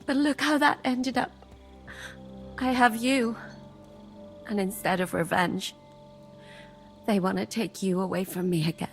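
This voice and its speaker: A woman's voice: medium pitch, fairly even intonation, a little slow, pauses often, faint music behind, lightly acted. A woman playing a bitter, emotionally wounded young medieval woman in a game, weary and full of feeling. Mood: sorrowful. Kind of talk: dramatic game cutscene acting.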